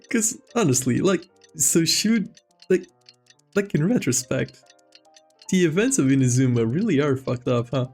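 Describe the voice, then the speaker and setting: A man's voice: fairly high pitch, lively intonation, a little slow, darkish tone, husky, soft background music. A man doing casual game-stream commentary in a rambling, amused, blunt way.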